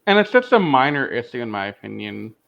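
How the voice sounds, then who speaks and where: A man's voice: mid-range voice, sing-song, brisk, vocal fry, a bit bright. A man co-hosting a podcast, talking casually in a fan discussion. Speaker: podcast co-host. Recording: dull lo-fi audio.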